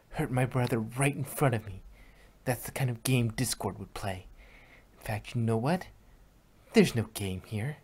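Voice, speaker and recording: A man's voice: mid-range voice, darkish tone, breathy edge, close to an everyday voice. A man reading the lines of a determined young female unicorn heroine, earnest and defiant. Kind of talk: fan reading of a story with voices.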